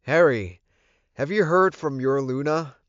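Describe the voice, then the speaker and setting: A man's voice: a little high, unhurried, dry recording, voice pushed up, close to an everyday voice. A man voicing a commanding, short-tempered male werewolf alpha in an audiobook, emphatic and authoritative. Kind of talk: audiobook character voice. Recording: muffled, dull recording.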